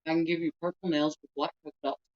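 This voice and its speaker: A woman's voice: low-pitched, fairly even intonation, fast, darkish tone, muffled recording. A woman, chatting casually and laid-back at home. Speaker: companion of the vlogger.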